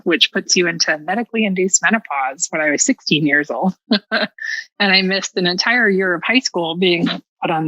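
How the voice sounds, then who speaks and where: A woman's voice: lowish voice, bright, forceful tone, no echo, dull audio. A middle-aged American woman telling personal stories as a podcast guest, casual and candid, with a sarcastic, self-mocking streak and a habit of acting out dialogue.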